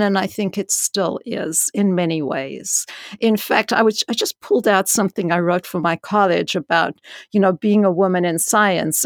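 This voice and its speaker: Frank, loud-voiced woman with gravelly edges. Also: mid-range voice, dry recording, clean studio sound. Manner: frank and animated, many "you know"s, rising to emphasis. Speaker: dean and scientist. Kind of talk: candid interview storytelling.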